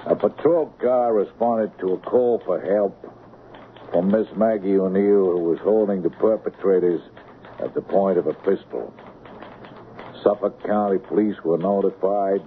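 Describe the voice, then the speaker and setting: A stolid police officer in an old radio play. His voice is low and very slow, with formal, deliberate delivery. The broadcast sound is thin and narrow. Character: stolid male police officer giving a methodical report. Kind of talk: 1970s radio drama acting. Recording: narrow, faintly noisy 1970s radio broadcast.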